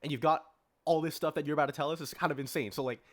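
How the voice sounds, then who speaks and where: A man's voice: a little high, fairly even intonation, very fast. A man interviewing a guest on a podcast in a slangy, enthusiastic, casual way. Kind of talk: casual chat.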